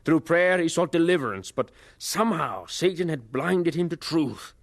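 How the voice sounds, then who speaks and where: A man's voice: a little high, lively intonation, moderate pace, no room sound. An actor playing a grown man who looks back on his boyhood, narrating an audio drama in a solemn, reflective way. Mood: grave. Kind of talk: dramatized first-person narration.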